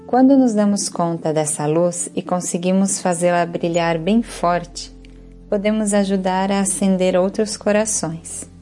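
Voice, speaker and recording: A woman with a Brazilian Portuguese accent leads a guided yoga nidra relaxation as the instructor, speaking in a calm, soothing, unhurried way. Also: fairly low pitch, faint music behind.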